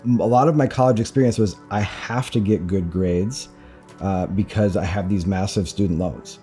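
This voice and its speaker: Low, mellow-voiced candid man. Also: moderate pace, soft background music. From Minnesota, United States. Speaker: interviewee. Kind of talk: documentary interview.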